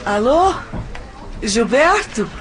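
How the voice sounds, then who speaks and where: A woman's voice: fairly high pitch, sing-song, very slow, some chatter behind, exaggerated voice. An actress playing an anxious, jealous young woman talking to her lover in an audio drama, with a Brazilian accent and a hesitant, emotional delivery. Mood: feigned cheer. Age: young adult.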